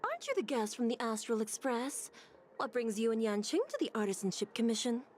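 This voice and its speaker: Firm official, animated higher voice. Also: female voice, brisk, a little hiss or hum. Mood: curious. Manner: formal, insistent, explanatory. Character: firm, dutiful female commission official. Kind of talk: video game voice acting.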